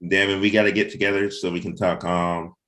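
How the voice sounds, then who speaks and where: A man's voice: sits low, level tone, fairly quick, fairly bright tone, a little hiss or hum, low-quality recording. A man hosting a casual livestreamed team chat, speaking informally and a little hesitantly as he thinks aloud. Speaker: host and comic creator leading a cast meeting.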